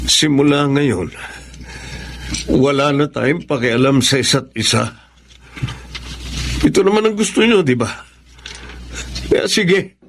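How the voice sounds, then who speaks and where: A man's voice: medium pitch, a little hiss or hum, lightly acted. An actor playing a hot-blooded, guilt-ridden young man with a Filipino accent in a radio drama.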